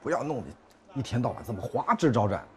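An actor playing a harried court officer in a screen drama, placating and evasive, with dry exasperation and repeated words. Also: male voice, mid-range voice, lively intonation, fast, fairly bright tone, natural voice. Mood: dryly exasperated. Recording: noticeable background noise and ambience.

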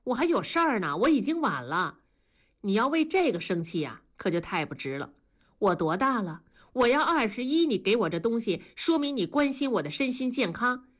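A woman's voice: a little high, sing-song, moderate pace. A woman narrator voicing a sullen, sarcastic twenty-one-year-old daughter who lashes out bitterly at her parents in an audiobook drama. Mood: impatient, scornful. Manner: sarcastic, defiant, accusing.

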